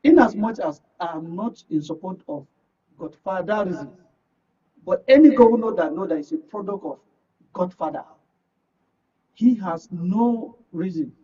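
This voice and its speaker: High-pitched, husky Nigerian man. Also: animated, moderate pace, long pauses, mellow. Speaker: guest political commentator. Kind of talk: live current affairs discussion. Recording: muffled, distant microphone.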